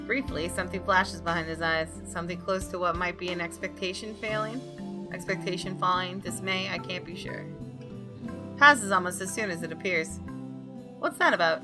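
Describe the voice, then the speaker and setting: A woman's voice: fairly low pitch, moderate pace. A woman hosting a gaming channel, reading visual novel narration aloud and commenting casually. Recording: music behind the voice.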